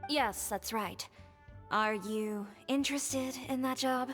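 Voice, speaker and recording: Game businesswoman, highish voice, brisk, blunt, decisive, reverberant, music underneath. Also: a bit bright. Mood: commanding. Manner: decisive, blunt, take-charge. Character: brisk, no-nonsense businesswoman, blunt but generous. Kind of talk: video game voice acting.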